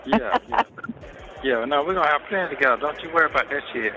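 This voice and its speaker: A man's voice: a little high, big pitch swings, fast, creaky. A man chatting intimately as an inmate on a phone call, flirtatious, profane and given to repeating himself. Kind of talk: intimate casual phone chat. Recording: noisy, muffled recorded jail phone call.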